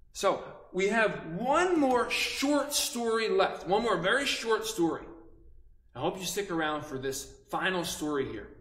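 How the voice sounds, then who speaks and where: A man working as a children's storyteller, animated and instructive, pausing between phrases as he invites listeners to act along. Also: high-pitched. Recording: echoey room.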